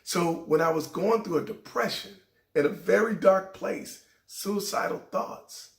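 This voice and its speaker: A middle-aged man hosting a show, telling a heartfelt personal story in a raspy voice that sometimes cracks. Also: high-pitched, unhurried, echoey room. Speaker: show host. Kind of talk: inspirational personal talk.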